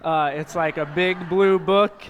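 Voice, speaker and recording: A man's voice: high voice, steady tone, a little slow, a little hiss or hum. A young American man, a youth pastor from Nebraska, preaching informally to a congregation in a casual, joking, conversational way. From Nebraska, United States. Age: young adult. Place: church hall, speaking to congregation. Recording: reverberant hall sound.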